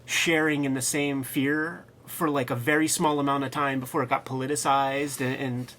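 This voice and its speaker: A man co-hosting a comics show, chatting casually and excitedly in a slangy, profane, riffing way. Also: mid-range voice, moderate pace, slight background noise. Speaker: co-host of a comics review show.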